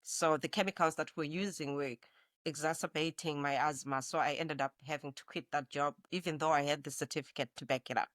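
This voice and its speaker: A woman's voice: low-pitched, steady tone, a little fast, a bit bright, dry recording. A woman in her forties from Zimbabwe, a nurse practitioner speaking reflectively as an interview guest. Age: 40s. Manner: reflective, with frequent restarts. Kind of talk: conversational interview.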